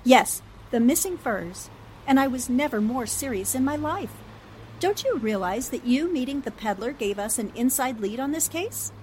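Actress as a confident, higher-voiced lady sleuth. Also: animated, darkish tone, slight background noise, low-quality recording. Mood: determined. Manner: brisk, assured, emphatic. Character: confident, shrewd female newspaper sleuth. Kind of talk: 1940s radio drama acting.

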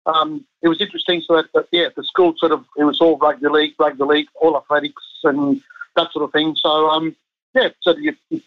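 A man's voice: a little high, animated, fairly quick, dry recording. A man in his fifties, born in Chile, who coaches a national team, talking casually in an interview with many ums and restarts. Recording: muffled lo-fi dry sound.

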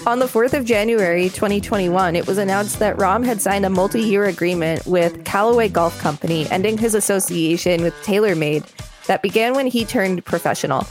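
Female co-host, article narration plus light joking banter, music clearly playing behind her. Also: medium pitch, a little fast. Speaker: podcast co-host reading an article aloud. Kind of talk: read-aloud narration with casual banter.

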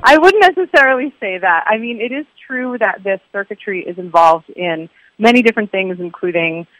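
Woman researcher being interviewed, bright crisp tone, careful wording, dry sound. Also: mid-range voice, expressive, a little fast, no echo, low-quality recording. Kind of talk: explanatory interview talk.

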